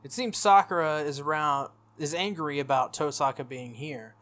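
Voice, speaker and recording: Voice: medium pitch, moderate pace, fairly bright tone. A video game playthrough host reading a visual novel aloud and breaking off for casual commentary. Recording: music under the voice. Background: game music.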